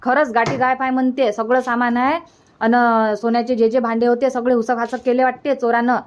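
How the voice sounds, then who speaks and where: A woman's voice: on the high side, level tone, fairly quick, slight background noise, close to an everyday voice. A woman voicing an earnest, flustered younger village sister-in-law in a comic cartoon, chatty and insistent, with a Varhadi Marathi accent. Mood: alarmed, insistent. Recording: dull, lo-fi sound.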